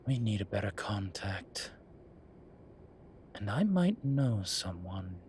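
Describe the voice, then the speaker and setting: A man playing a weary, wry incubus professor in an intimate audio roleplay, speaking in measured, drawn-out phrases. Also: on the low side, very animated, a little mellow, a little hiss or hum. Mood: pensive, grave. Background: ambient sound effects.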